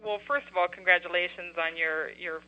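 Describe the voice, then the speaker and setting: A woman guest author on a radio interview, explaining her nonprofit's work in an earnest, steady way. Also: on the low side, a little fast. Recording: dull lo-fi radio audio.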